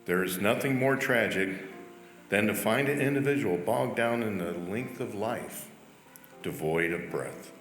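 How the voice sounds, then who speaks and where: Really low guy reciting quotes, echoey hall, faint music. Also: a little slow, a bit bright. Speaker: church member reading quotes. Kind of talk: recited quotations.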